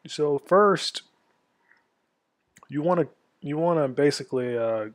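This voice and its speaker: A man in his twenties from Florida, an army veteran walking viewers through a tutorial in a casual, halting way, stuffed up from a cold. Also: on the high side, no room sound. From Florida, USA. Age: 20s. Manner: halting, many ums and restarts. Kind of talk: casual screen-share tutorial.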